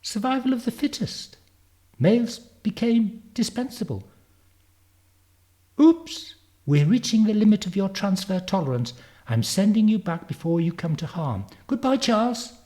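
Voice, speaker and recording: A man voicing a confident, precocious ten-year-old girl from the future in a storybook, brisk and matter-of-fact. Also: high voice, sing-song, dark tone, voice pushed up. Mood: brisk, assured. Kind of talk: storybook character reading.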